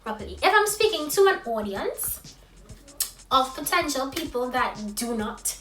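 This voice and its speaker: A young woman with a Trinidadian accent touched by American tones, talking expressively to camera in a high, youthful voice. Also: reverberant, far from the mic, faint music behind. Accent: Trinidadian with American influence. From Trinidad and Tobago. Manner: expressive, lively, quick when excited, laughs often. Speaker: vlogger. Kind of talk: casual talk to camera.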